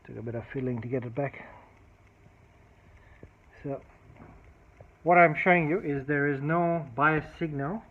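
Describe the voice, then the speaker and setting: A narrow, phone-like recording of a man with a slightly high voice. He walks through a technical repair in an unscripted, methodical way, thinking aloud as he demonstrates each step. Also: a little slow, pauses often, a little hiss or hum. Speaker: electronics repair hobbyist demonstrating a fix. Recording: thin phone-like band-limited sound.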